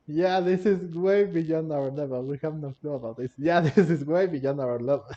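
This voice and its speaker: A man's voice: a little high, normal speed, dark tone. A man giving casual, rambling let's play commentary, often repeating himself. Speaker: gaming video creator. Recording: dull, lo-fi microphone. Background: game music.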